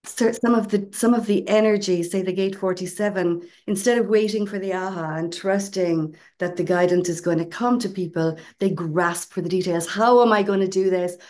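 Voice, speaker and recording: A woman's voice: mid-range voice, moderate pace, few pauses, a little mellow. A woman co-hosting a podcast, explaining ideas in a conversational casual chat with asides. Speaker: podcast co-host.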